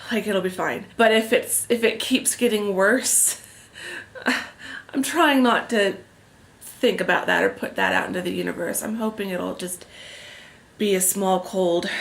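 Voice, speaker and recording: A woman's voice: medium pitch, vocal fry, a bit bright. A woman vlogger chatting casually on camera with a head cold, sounding stuffed up and a little tired. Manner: chatty, rambling, self-deprecating. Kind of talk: casual vlog chat. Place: indoors at a family house, on a couch.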